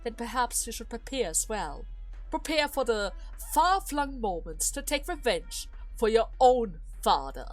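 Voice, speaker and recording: A woman voicing a manipulative older male duke in a dramatic game story, speaking in a measured, formal and condescending way. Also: on the high side, sing-song, faint music behind. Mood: cold, needling. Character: scheming older male duke, coolly manipulative adoptive father.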